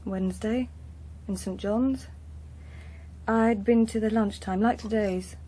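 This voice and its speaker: An actress playing a defensive young daughter in a radio drama, with an English accent, a husky voice and a petulant manner. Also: female voice, mid-range voice, fairly even intonation, takes it slowly, warm tone. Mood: indignant. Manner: petulant, protesting. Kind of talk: British radio drama acting.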